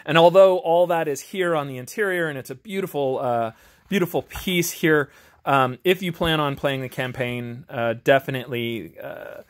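A man's voice: a little high, normal speed. A man chatting casually and unscripted as an amateur reviewer, in an informal, hesitant, self-deprecating way. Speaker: amateur video reviewer and tabletop game master. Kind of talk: casual, unscripted chat while reviewing a game book. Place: home garage.

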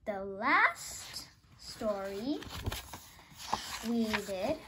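A woman's voice: medium pitch, very animated, very slow, heavy background noise. A female host reading a children's storybook aloud in an informal, slightly hesitant way. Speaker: host and narrator.

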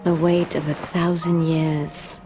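An actress playing a solemn, dignified, ageless woman in a game cutscene, speaking in a measured, formal, reflective way. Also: deep voice, little pitch movement, over music, rough recording. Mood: solemn, resolute. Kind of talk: video game cutscene acting.

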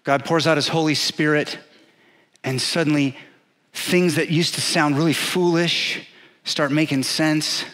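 Pastor, man in his forties, emphatic sermon delivery on a headset mic, voice on the high side. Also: fairly even intonation, a bit bright. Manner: earnest, emphatic preaching with pauses; paces the stage, gesturing. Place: large dark church stage.

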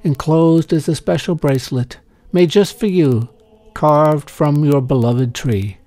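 A grateful young schoolchild in a storybook, read by a man recites a sincere letter. The delivery is earnest and slow, with a mellow tone and a dry sound. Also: on the high side, dry recording.

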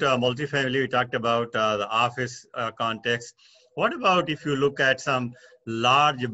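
A man in his sixties hosting a video call interview, asking questions haltingly with repeated words and fillers. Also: mid-range voice, bright, forceful tone. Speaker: interviewer, real estate news host. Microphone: video call webcam mic. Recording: dull video call audio.

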